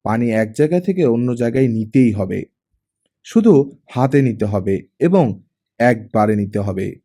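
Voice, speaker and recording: A man's voice: medium pitch, expressive, measured pace, dark tone. A man reading a story as an audiobook narrator, in a dramatic scripted storytelling style.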